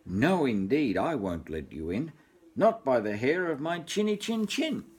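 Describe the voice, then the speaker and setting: A polite little pig from a storybook, earnest and plucky as an older man acts him out. The pitch swoops around a lot and the voice is a bit husky. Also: sing-song, unhurried, darkish tone. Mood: defiant.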